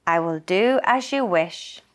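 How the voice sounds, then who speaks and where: Woman acting out sly greedy male neighbor, slow pace, measured, self-satisfied, storybook folktale. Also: on the low side, expressive, clean tone. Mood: agreeable.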